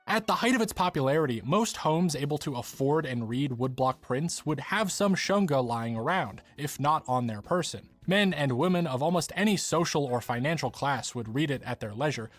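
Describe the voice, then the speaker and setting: A man giving scripted narration as the presenter of a video essay. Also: a little high. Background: background music.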